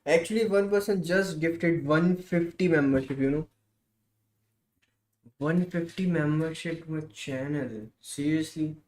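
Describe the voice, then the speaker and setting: A man's voice: a little high, unhurried, a little mellow. Teasing young man streaming. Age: 20s.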